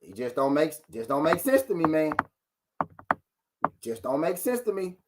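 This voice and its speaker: A man's voice: a little high, big pitch swings, moderate pace, very noisy. A man hosting a live boxing talk show, arguing his point with rhetorical questions, repeating himself and greeting viewers from the chat.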